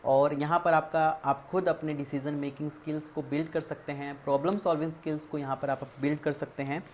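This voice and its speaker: Low-voiced Indian woman explaining, noisy background. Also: flat delivery, fairly quick, darkish tone. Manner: methodical, point-by-point explaining with English terms mixed in. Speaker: career guidance video presenter. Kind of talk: explanatory tutorial presentation. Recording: clearly audible background ambient noise.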